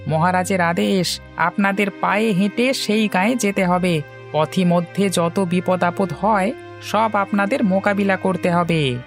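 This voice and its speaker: A woman's voice: fairly low pitch, fairly even intonation, unhurried, gravelly, faint music behind. A woman voicing a cold-hearted palace attendant in a fairy-tale cartoon, mocking yet formal. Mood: taunting.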